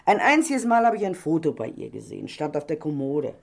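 A gossipy middle-aged landlady in a radio crime drama. Her voice is creaky and swings up and down expressively. She chatters on with self-righteous indignation and sly insinuation. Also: sits low, sing-song, a little fast, heavily acted. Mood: confiding. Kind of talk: German crime radio play acting.

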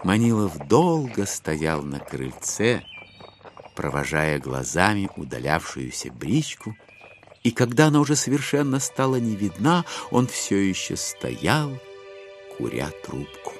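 A man narrating a literary audio drama in an expressive storytelling manner. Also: low-pitched, measured pace, soft background music, exaggerated voice.

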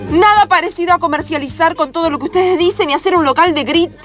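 Woman playing a preachy high-voiced hippie. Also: fast, over music. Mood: preachy, indignant. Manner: preachy, theatrical. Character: idealistic new-age hippie woman, easily tempted by money. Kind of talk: improvised comedy radio play.

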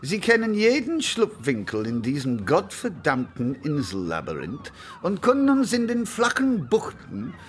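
An actor playing a frustrated, deferential navy admiral in a radio drama, wordy and easily flustered. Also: male voice, on the high side, huge pitch swings, measured pace, a little hiss or hum. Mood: exasperated.

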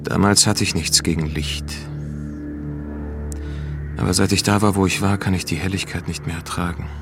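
Jaded male expedition veteran, low voice, dry sardonic delivery, noir radio play narration, music behind. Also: steady tone, normal speed. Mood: bitter, weary. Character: world-weary, light-shunning male expedition veteran, sardonic. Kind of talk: radio drama dialogue and first-person noir narration.

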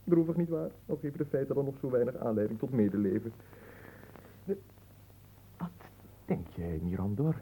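A man's voice: medium pitch, expressive, moderate pace, pauses often, mellow, a little hiss or hum, natural voice, poor audio. An actor playing a bored, spoiled young nobleman in a radio drama, melodramatic, languid and pompous. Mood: whimsical, self-pitying. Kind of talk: theatrical radio drama acting.

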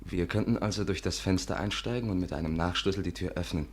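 An actor playing a brisk, confident, cajoling amateur detective in a 1950s German radio drama. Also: male voice, low-pitched, close to an everyday voice. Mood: brisk, wry.

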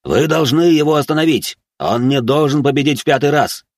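A frantic, domineering director played by a male narrator barks orders loudly. His voice is pushed up high and urgent, in dramatic audiobook style. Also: brisk, no echo, clean studio sound. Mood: panicked fury. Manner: shouting, commanding.

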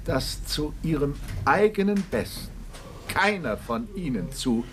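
A man playing a stern, cautious gentleman politician in a radio play, formal and admonishing. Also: on the high side, very animated. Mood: grave, disapproving. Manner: formal, admonishing, measured phrasing. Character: stern, cautious male gentleman member of parliament. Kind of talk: German radio play acting.

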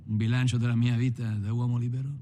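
An actor playing a weary, wry freed gangster turned painter, speaking in a halting, measured film monologue. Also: male voice, mid-range voice, level tone, noisy background, natural voice. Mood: reflective. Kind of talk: dramatised first-person film monologue. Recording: music behind the voice.

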